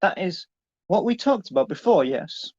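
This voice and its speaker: A man's voice: sits high, expressive, moderate pace, darkish tone, husky, muffled recording, pitched above the performer's own voice. A man playing a stern, middle-aged businessman father, curt and commanding, in a dramatic story reading. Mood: dismissive.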